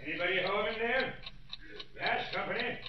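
A man's voice: high-pitched, moderate pace, lightly acted. Man playing a distant, echoey gas man. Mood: routine calling. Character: matter-of-fact gas company meter man calling from outside. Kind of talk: 1940s radio drama acting. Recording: distant, echoey, dull, noisy old radio recording.

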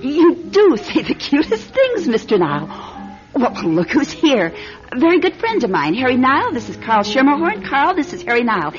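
A woman's voice: high voice, huge pitch swings, moderate pace. An actress playing a sly young tavern woman who puts on sweetness, in an old-time radio drama. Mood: falsely sweet. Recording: dull lo-fi radio show, faint music.

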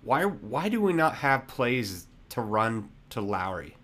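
Slow easygoing male sports podcaster. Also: mid-range voice, big pitch swings, a bit bright. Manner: slow, easygoing, with many fillers. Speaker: podcast host. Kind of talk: casual postgame fan talk.

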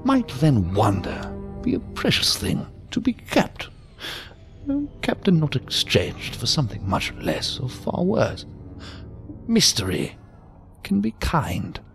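A creaky, sing-song eccentric old gentleman. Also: medium pitch, wildly swooping pitch, slow pace, heavily acted. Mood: cryptic, musing. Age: older. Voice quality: creaky, gravelly, breathy at times. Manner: formal, ornate, deferential, theatrically sing-song. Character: odd, courteous, philosophical old man lurking in an alley. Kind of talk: audio drama acting. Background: music.

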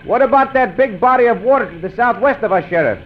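Gallant Mexican caballero, Mexican Spanish accent, formal and calm, lively pitch, muffled radio hiss. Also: male voice, fast. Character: dashing, courteous Mexican caballero hero. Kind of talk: 1940s radio acting. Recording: muffled old radio recording with faint hiss.

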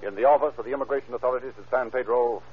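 A man's voice: medium pitch, steady tone, rapid-fire, over loud music. An American man announcing and reading scripted ads on 1930s radio in a formal, polished salesman's delivery. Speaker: announcer and narrator. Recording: muffled old radio broadcast.

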